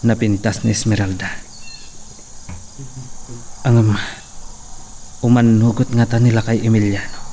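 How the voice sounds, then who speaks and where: An actor playing a farmworker who muses to himself in a low-key way, with faint insect noise. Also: male voice, little pitch movement, measured pace, pauses often, dark tone. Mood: musing.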